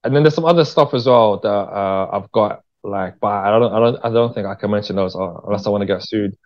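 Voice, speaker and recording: A man's voice: lowish voice, fairly quick, dull audio. A man speaking as an interview guest in a casual, candid, slangy chat, often repeating phrases for emphasis. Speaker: interview guest, screenwriter and director.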